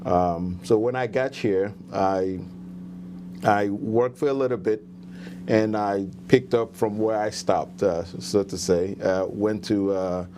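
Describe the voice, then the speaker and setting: A middle-aged man from Nigeria with a Nigerian accent, answering interview questions as a guest candidate. He speaks in a measured way and pauses often. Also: on the low side, fairly even intonation, mellow, slight background noise. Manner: measured, with frequent hesitations.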